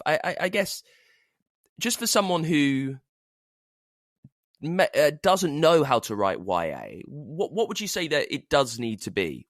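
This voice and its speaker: A man hosting a casual interview, framing his questions haltingly and repeating words as he goes. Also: mid-range voice, a bit bright, dry recording, clean studio sound. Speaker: podcast host, interviewer.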